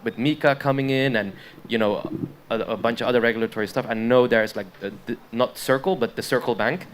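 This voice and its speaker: A man's voice: mid-range voice, steady tone, a little fast, slight background noise. A man from the Netherlands speaking on a conference panel, hesitant, with many restarts and fillers.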